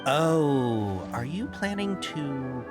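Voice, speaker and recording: A caravan trader from a video game, eager to please and a little fretful. The delivery is salesy and deferential, with lively swings in pitch. Soft music sits underneath. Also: drawn-out words. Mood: hopeful.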